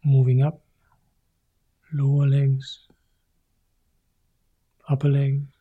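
A male monk guiding a meditation in soft, short phrases that often sink into a whisper. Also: mid-range voice, completely flat delivery, very slow, husky, narrow, tinny audio. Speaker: Buddhist monk leading meditation. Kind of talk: guided meditation.

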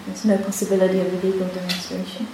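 Soft-spoken Hebrew-speaking woman teacher, echoey hall. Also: lowish voice, completely flat delivery. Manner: soft-spoken, unhurried, with many pauses and restarts. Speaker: meditation teacher. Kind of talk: dharma talk with personal story. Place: retreat hall. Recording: echoey hall, faint hiss.

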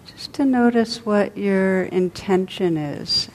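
A woman meditation teacher leading a guided meditation, speaking softly and unhurriedly with long pauses between phrases. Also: mid-range voice.

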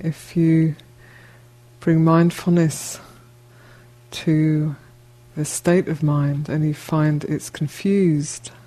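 Slow, mellow, low-toned woman guiding meditation. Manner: gentle, unhurried, with long pauses between short phrases. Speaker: meditation teacher. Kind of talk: guided meditation instruction.

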